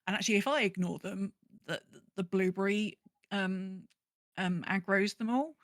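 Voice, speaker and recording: A woman's voice: mid-range voice, normal speed, no room sound. A woman with a mid-range voice talks as a podcast co-host. She is informal and chatty, leaning on words for emphasis. The sound is dry, with very little room in it. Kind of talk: casual gaming podcast chat.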